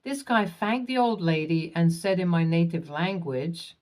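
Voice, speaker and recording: Low-voiced woman reading stories evenly. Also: unhurried. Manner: even reading pace, spells acronyms letter by letter. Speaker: narrator. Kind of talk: scripted narration of online posts.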